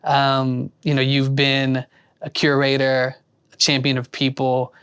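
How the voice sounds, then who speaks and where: A man's voice: mid-range voice, completely flat delivery, a little slow, fairly bright tone, smooth. A man hosting an interview, asking rambling, earnest questions full of restarts. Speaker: interview host. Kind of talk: casual interview.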